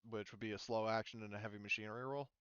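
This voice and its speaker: A man's voice: fairly low pitch, steady tone, very fast, fairly bright tone, smooth, no echo, highs cut off. A man acting as game master, casually thinking aloud as he talks players through rules and turns. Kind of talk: casual tabletop game narration and rules talk.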